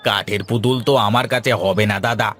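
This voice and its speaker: A man's voice: fairly low pitch, fairly even intonation, brisk, a bit bright, lightly acted. A man voicing a talkative, helpful toy-shop keeper in a cartoon. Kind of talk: cartoon voice acting.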